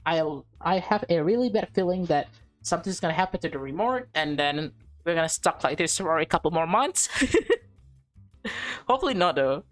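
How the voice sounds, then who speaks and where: A woman with a slightly low voice narrates a visual novel for a playthrough. She moves between read-aloud story text and informal reactions, with music playing underneath. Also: lively intonation, moderate pace. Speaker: let's play streamer reading and reacting to a visual novel. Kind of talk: read-aloud narration with casual live commentary.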